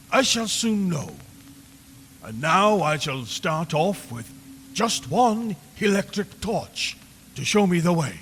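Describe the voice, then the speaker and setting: A man playing a grave foreign psychic professor in a horror radio drama, halting, emphatic and theatrically ominous. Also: fairly high pitch, fairly bright tone, exaggerated voice. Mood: portentous. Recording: long reverb, background hiss and ambience.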